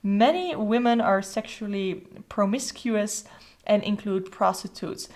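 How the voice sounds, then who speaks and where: A woman with a Dutch accent hosts a relaxed flip-through of a tarot deck, speaking English as her second language. She chats in a loose, rambling way, with fillers and restarts as she goes. Her voice sits at a middle pitch. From Netherlands. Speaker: tarot channel host.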